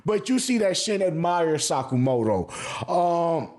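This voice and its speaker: Slangy, higher-voiced man reviewing anime. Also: very animated, unhurried, darkish tone. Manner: profane, emphatic, repeats phrases for effect. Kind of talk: casual, slangy video commentary.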